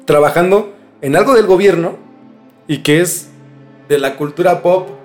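A man's voice: high voice, unhurried. A man with a Mexican accent telling a story in a casual, slangy podcast chat as host. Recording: music bed behind the talk. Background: music.

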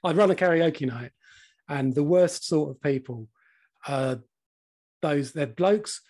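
A man in his forties with a slightly croaky voice, co-hosting a casual podcast chat, laughing often and swearing freely. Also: on the high side, takes it slowly, a little mellow. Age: 40s. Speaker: podcast co-host.

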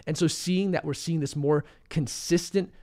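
A young man, a little hoarse and under the weather, confidently walking viewers through market charts in a casual commentary. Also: on the high side, steady tone, normal speed, darkish tone.

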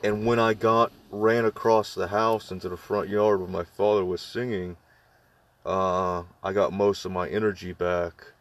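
A man with a deep voice telling a spooky personal story to friends, halting with many fillers and restarts. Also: level tone, far from the mic. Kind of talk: casual ghost story among friends.

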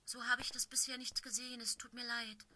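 A curious young house tomcat from a storybook, voiced by a woman, eager and earnest, pitched a little higher and lighter than the narration. The bright, clear tone keeps him sounding youthful and open. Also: flat delivery, brisk.

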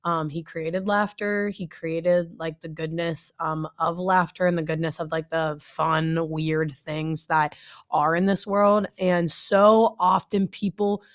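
A woman's voice: sits low, steady tone, slow. Slangy young woman co-hosting a podcast. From Northeast Ohio. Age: 20s. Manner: laid-back slangy talk, many fillers. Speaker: youth pastor and podcast co-host. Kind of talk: casual chat.

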